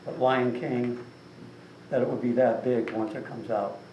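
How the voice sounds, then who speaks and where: A man's voice: medium pitch, flat delivery, unhurried, mellow. A man speaking casually as an author at a meeting, rambling with lots of "you know". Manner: rambling, filler-heavy, with frequent "you know". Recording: distant mic in an echoey room with some noise.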